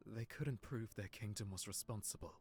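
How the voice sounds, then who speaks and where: Man acting a husky, low-voiced wounded young nobleman. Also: moderate pace, a little mellow, soft background music. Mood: bitter, hurt. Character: heartbroken young nobleman, guarded, wounded, confiding in his servant. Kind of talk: visual novel character acting.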